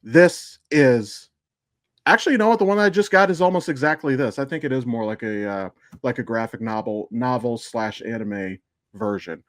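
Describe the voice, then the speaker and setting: A man's voice: mid-range voice, normal speed, no room sound, clean studio sound. A man co-hosting a podcast in casual fan discussion, speaking informally with some swearing. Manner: informal, profane. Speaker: podcast co-host.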